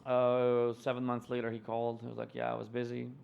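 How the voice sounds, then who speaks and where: A man from Ukraine, a guest musician telling stories in a casual interview with a relaxed manner and many fillers. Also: medium pitch, fairly quick, vocal fry, smooth, highs cut off. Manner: relaxed storytelling with frequent fillers.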